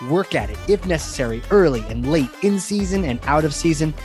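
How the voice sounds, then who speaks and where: Higher-voiced male podcast host. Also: expressive, a little fast, a little mellow, soft background music. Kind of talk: casual podcast hosting.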